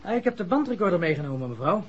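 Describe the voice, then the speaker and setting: An actor playing a chatty, deferential manservant in a 1960s radio drama. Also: male voice, high voice, sing-song, racing, slightly rough, heavily acted. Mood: chatty, eager. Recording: muffled, noisy old radio recording.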